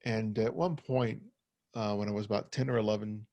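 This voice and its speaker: A man's voice: fairly low pitch, steady tone, a little fast, mellow, no room sound, highs cut off. A middle-aged man with a slight stutter who often repeats words, giving a personal talk as a guest over a video call. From United States. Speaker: guest photographer. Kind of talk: personal talk at an online forum.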